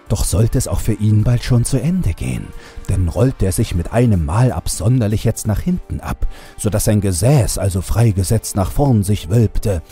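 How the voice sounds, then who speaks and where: Deep-voiced mellow man narrating a story. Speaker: horror audiobook narrator. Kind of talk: dramatic literary storytelling.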